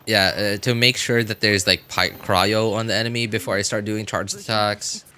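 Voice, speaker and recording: A man's voice: on the low side, fairly even intonation, moderate pace, bright, forceful tone, slight background noise. A man streaming a video game, chatting casually in a rambling, self-deprecating way. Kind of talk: casual livestream chat while playing a video game.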